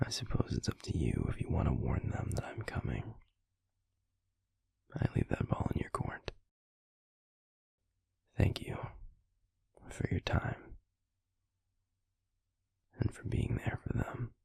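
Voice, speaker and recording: A man playing a grave, protective werewolf pack alpha in an audio drama, with a husky, gravelly voice and measured, formal speech. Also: huge pitch swings, no room sound, whispered, heavily acted. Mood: quietly grateful. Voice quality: gravelly, husky, breathy low voice. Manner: measured, deliberate, formal, hushed. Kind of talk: audio roleplay drama acting.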